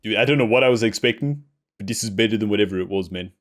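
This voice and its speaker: A man giving casual, excitable game reaction commentary, slangy with light swearing. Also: fairly low pitch, racing, a little hiss or hum. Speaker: gaming reaction host. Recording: game music behind the voice. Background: game soundtrack music.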